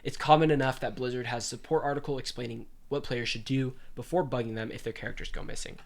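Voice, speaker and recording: A man's voice: mid-range voice, quick pace, vocal fry. A young man with a congested voice, hosting a casual podcast and reading gaming news aloud. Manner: animated, reads news aloud. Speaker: podcast host.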